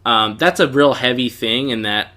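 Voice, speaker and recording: A man with a notably bright, forward voice and mid-range pitch talks as a podcast co-host. He gives his opinions in a casual, conversational review style. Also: normal speed.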